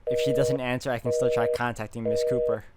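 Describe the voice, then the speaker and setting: A man playing through a visual novel and reading its narration aloud in an expressive storytelling manner. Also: mid-range voice, fast talker, heavy background noise. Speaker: video game walkthrough player. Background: game music.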